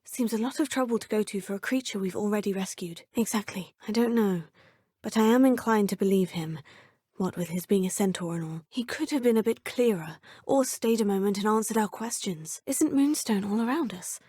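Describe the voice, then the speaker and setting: A woman's voice: mid-range voice, brisk, dark tone, husky, dry recording, studio quality, lightly acted. A woman voicing a polite young witch. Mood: curious polite. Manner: polite, formal, inquisitive. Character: polite curious young female witch student. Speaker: player character. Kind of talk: video game dialogue lines.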